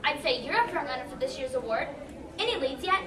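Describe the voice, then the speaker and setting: Woman as a very high-pitched awards show hostess. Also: expressive, fast talker. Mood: presentational. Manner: announcer-like, presentational. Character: peppy fairy-tale awards show hostess. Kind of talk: youth stage comedy acting. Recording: echoey hall from far off, audience noise.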